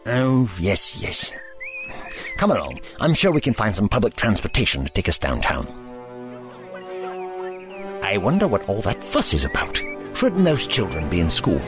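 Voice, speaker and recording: An actor playing an eccentric, absent-minded old time traveler with fussy, courtly phrasing in an audio drama. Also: male voice, on the low side, huge pitch swings, moderate pace, pauses often, over music.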